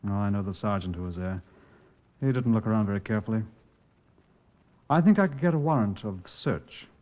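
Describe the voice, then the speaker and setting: An actor playing a keen, dogged junior detective in a 1950s radio crime drama, terse and matter-of-fact. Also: male voice, lowish voice, animated, brisk, natural voice. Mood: keen, determined. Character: keen, dogged male police subordinate detective. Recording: muffled old-time radio recording.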